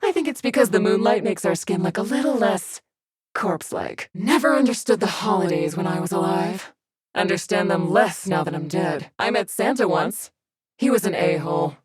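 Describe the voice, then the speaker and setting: An actress voicing an undead woman warrior in a game, cold and menacing with dry, deadpan humor and a husky voice. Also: fairly low pitch, lightly acted. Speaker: video game character. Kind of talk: game voice lines.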